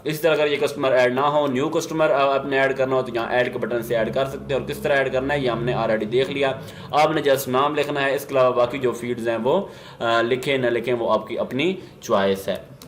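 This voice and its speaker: A man with a Pakistani accent narrates a software tutorial in an explanatory way, at a medium pitch. English business terms are mixed into his speech. There is some noise in the recording behind his voice. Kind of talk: instructional software demo narration.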